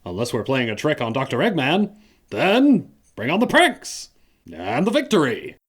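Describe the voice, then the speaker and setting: A man's voice: medium pitch, wildly swooping pitch, normal speed, husky. A man playing a stubborn, boastful cartoon echidna hero in a fan audio drama, pompous and melodramatic. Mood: gleeful, boastful.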